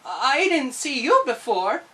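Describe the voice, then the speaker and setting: A woman's voice: high voice, very animated, slow, fairly bright tone, recorded from a distance, pitched above the performer's own voice, exaggerated voice. A woman voicing a plucky, polite little girl in a storybook reading. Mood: surprised.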